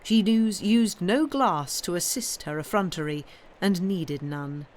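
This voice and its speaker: A woman's voice: fairly low pitch, a little slow, a little mellow. Woman reading a classic novel aloud. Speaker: audiobook reader. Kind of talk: literary narration of a Victorian novel, reading aloud.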